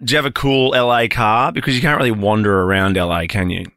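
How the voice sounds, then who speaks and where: A man's voice: lowish voice, fairly even intonation, quick pace, fairly bright tone, dry recording, studio quality. A man hosting a podcast, chatty and self-joking, interviewing a guest over a video call. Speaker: podcast host. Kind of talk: casual interview.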